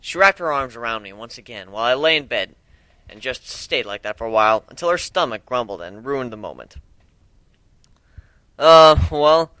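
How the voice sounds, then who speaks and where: A young man reads a story aloud as an amateur dramatic narrator. His voice is mid-pitched, bright and forceful. The recording is dry, with little room sound. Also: smooth, dry recording.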